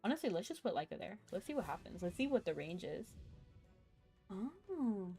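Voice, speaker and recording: A woman streaming a video game, chatting casually and thinking out loud as she plays, with a chuckle here and there. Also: mid-range voice, sing-song, moderate pace, gravelly. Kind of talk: casual live-stream chat while gaming. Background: faint game music.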